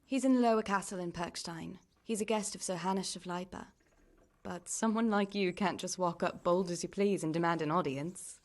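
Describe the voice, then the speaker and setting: A woman's voice: lowish voice, moderate pace, lightly acted. Caring, world-weary young medieval woman played by an actress. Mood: brisk, wry. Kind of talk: dramatic video game dialogue.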